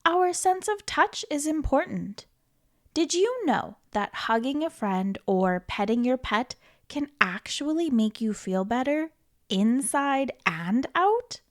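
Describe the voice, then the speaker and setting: A woman reading a children's book aloud as a storytime host, in an expressive, playful manner with questions to the listener and dramatic pauses. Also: sits high. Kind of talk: animated children's read-aloud.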